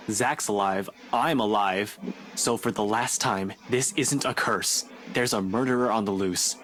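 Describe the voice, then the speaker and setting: A curt young cop played by a man who brushes off every plea with clipped exasperation. He stays steady in the middle of his range, impatient and dismissive, in a game cutscene. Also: mid-range voice, a little hiss or hum, natural voice. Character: brusque young male police officer. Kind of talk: video game voice acting.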